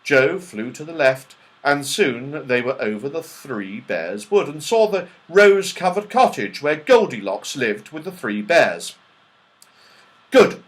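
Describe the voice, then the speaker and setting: A man reading a children's story aloud in an animated, carefully enunciated way, as an audiobook narrator. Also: mid-range voice, unhurried, few pauses. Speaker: audiobook reader.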